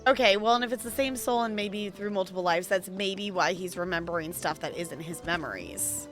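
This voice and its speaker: A woman's voice: mid-range voice, brisk, fairly bright tone, faint music behind. A woman game streamer giving casual, chatty live commentary and reacting to the game for her viewers.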